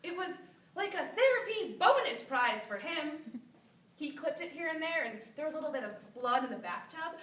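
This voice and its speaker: A woman acts a wronged wife confiding intimate marital troubles on stage. Her delivery is bright and emphatic, picked up from far off in an echoey room. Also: very high voice, slight background noise, exaggerated voice. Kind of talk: live stage monologue. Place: stage in a hall. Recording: roomy, distant live theatre recording.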